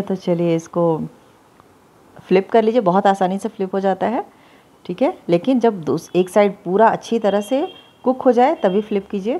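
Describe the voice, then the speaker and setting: A woman's voice: on the low side, warm tone. A woman talking through a home recipe in a chatty, easygoing way, often checking in with "okay" as she goes. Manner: chatty, repeats "theek hai" often. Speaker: cooking channel host. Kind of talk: casual recipe demonstration. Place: home kitchen, voice-over.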